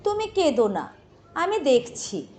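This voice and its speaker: A woman voicing a kind, helpful water fairy in a children's storybook, with gentle, simple, questioning speech. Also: high-pitched, wildly swooping pitch, unhurried, heavily acted. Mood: caring.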